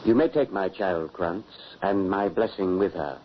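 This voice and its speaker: A gruff, commanding huntsman in a vintage radio drama, his voice low. The broadcast sound is dull, with a faint hiss underneath. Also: animated, a little slow. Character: stern huntsman father, secretive and commanding. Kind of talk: 1940s radio acting.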